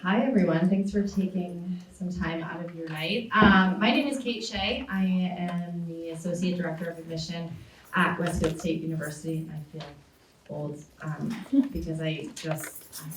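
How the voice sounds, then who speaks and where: A woman in her forties answers a panel question as a college admissions director. Her voice sits low and she explains steadily, with many ums. It rings through a roomy school auditorium, heard from a distance. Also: steady tone, normal speed, slight background noise. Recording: echoey hall, distant mic.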